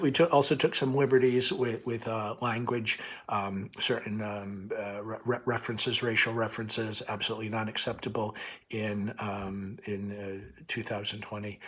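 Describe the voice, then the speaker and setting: A man speaking informally as a school principal hosting a read-aloud, halting and hesitant with many broken phrases. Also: fairly low pitch, fairly bright tone, slightly rough.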